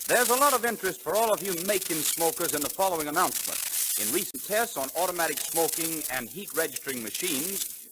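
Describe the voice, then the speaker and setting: A man's voice: on the high side, background noise. An American man announcing a scripted 1930s radio commercial with a polished salesman's emphasis, on an old broadcast recording. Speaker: radio announcer reading sponsor ads.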